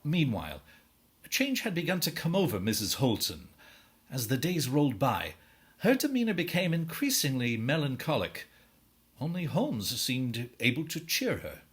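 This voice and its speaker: A man playing a wry storyteller, narrating a period musical in formal, articulate theatrical prose with a husky voice. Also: mid-range voice, sing-song, normal speed. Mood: grave.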